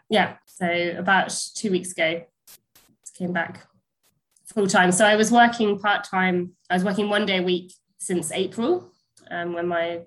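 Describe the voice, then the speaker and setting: A woman's voice: on the low side, poor audio. A woman, sounding a bit snuffly from a cold, answering interview questions in a casual, hesitant way with many ums and restarts. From England, near Bristol. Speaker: guest, pasture science lecturer.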